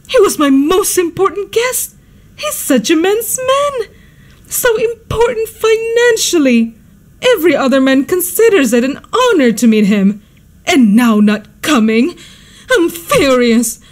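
A woman acts out an imperious society hostess in a radio play. Her voice is high and swings widely, with drawn-out, slow delivery. She is melodramatic, easily outraged and forever scolding. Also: sing-song, heavily acted. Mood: outraged, wailing. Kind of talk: radio drama acting.

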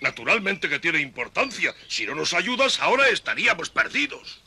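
A man's voice: high-pitched, very animated, moderate pace, bright, forceful tone, husky, heavily acted. A man voicing a stern, pompous cartoon ant colonel who barks orders and scolds his troops. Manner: barking orders, scolding, military. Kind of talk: animated cartoon dub.

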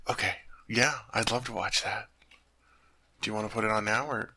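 A man's voice: on the low side, normal speed, sharp tone, slight background noise. A man playing a sweet, naive teddy bear turned human in an ASMR roleplay, chatting earnestly to the listener as he puzzles over human things. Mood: curious, eager.

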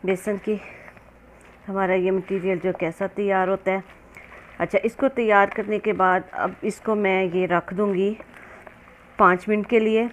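A woman with a medium-pitched voice talks through a recipe like a home cook teaching viewers. Her delivery is casual and conversational, moving step by step with frequent check-ins. Light kitchen noise sits behind her voice. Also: highs cut off. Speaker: home cook hosting a cooking channel. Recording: clear background noise, kitchen ambience.

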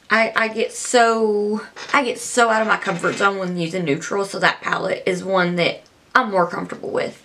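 Young woman beauty vlogger, scratchy allergy voice, rambling, excited, small room, close camera. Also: medium pitch. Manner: chatty, rambling, enthusiastic. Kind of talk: casual talk to camera.

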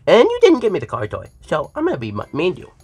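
A man's voice: on the high side, huge pitch swings, fast talker, darkish tone, husky, full character voice. A man voicing a bratty, mischievous young turtle-monster boy with a whiny, taunting manner in an improvised comic skit. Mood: taunting, spiteful.

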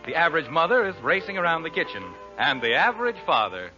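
A man's voice: on the high side, very animated, fast talker, bright, forceful tone. An American man narrating as announcer in a scripted 1940s radio style. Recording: dull vintage radio recording. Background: prominent music.

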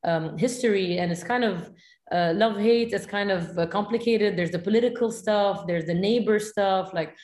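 A woman's voice: medium pitch, normal speed, darkish tone, echoey room. A woman in her thirties, a film director from Lebanon, talking candidly and thoughtfully in an interview over a video call, with many "you know"s. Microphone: video call, webcam.

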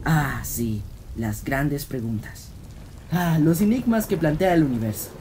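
A man's voice: on the high side, expressive, unhurried, warm tone, a little hiss or hum. A man voicing a manic young gangster cat in a cartoon, florid, theatrical and gleefully wordy. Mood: mock pondering. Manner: theatrical, florid, mock-philosophical. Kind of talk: animated fan comic dub. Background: rain.

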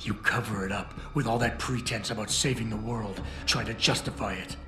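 A man's voice: lowish voice, fairly even intonation, fairly quick, lightly acted. Guy doing a stern video game hero, indignant n accusing, roomy sound w music playing behind. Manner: terse, intense, accusing. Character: stern, indignant male action hero confronting a villain. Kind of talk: video game cutscene acting.

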